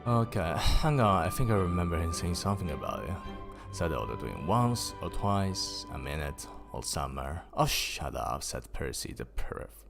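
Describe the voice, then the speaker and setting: A man streaming a casual story reading, raising his pitch for the characters and stopping to laugh and swear at typos. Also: very animated, normal speed, vocal fry, music in the background. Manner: lifts pitch for quoted characters. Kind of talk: casual livestream book reading.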